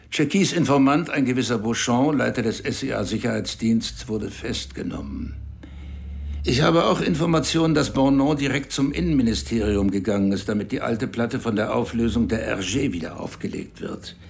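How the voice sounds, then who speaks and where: An actor playing a tough, cynical police chief in a radio crime drama, blunt and quick to curse. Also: male voice, lowish voice, gravelly. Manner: blunt, cursing, gets heated.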